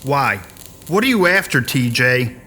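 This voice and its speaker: A man's voice: mid-range voice, big pitch swings, unhurried, bright, forceful tone, slight background noise. A man playing a tense, suspicious sergeant in an audio drama. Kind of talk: scripted audio drama acting.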